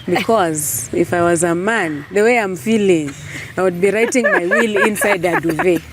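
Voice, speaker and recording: A hoarse woman with a flu, hosting an interview in a playful, teasing way. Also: lowish voice, normal speed, noisy background. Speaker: host. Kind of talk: casual interview chat.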